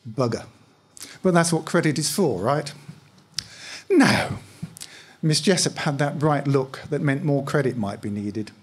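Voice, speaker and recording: A middle-aged man with a husky voice, reading a first-person short story aloud in a conversational, wry way. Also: medium pitch, expressive, a little slow, long pauses. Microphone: microphone on stand, close.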